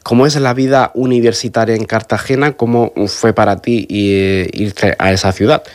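A man hosts a conversational interview. His voice is fairly low, and he phrases his questions hesitantly, piece by piece. The sound is close and dry. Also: fairly even intonation, moderate pace, no echo. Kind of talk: conversational radio-style interview.

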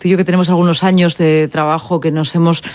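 A woman's voice: fairly low pitch, little pitch movement, fast, darkish tone. A middle-aged woman interviewing a guest on radio, asking questions with many fillers and tag questions at a studio microphone. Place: studio at a narrow table with a chroma backdrop. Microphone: table microphone.

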